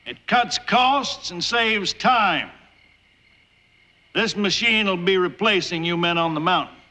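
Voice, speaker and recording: A man's voice: high-pitched, lively intonation, takes it slowly, pushed and bright, full character voice. An actor playing a brash, blunt railroad boss who declaims like a showman in a television folk-tale drama. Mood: boastful. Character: brash railroad boss showing off his new machine.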